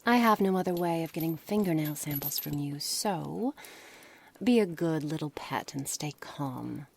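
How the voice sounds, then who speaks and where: A low, menacing witch acted by a woman speaks in a deliberate, formal ASMR monologue. She is cold, curious and condescending toward her captive, often sinking to a breathy near-whisper. Faint ambient noise sits behind the voice. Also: expressive, full character voice. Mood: coolly threatening. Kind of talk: ASMR roleplay, scripted dramatic monologue. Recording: noisy background ambience.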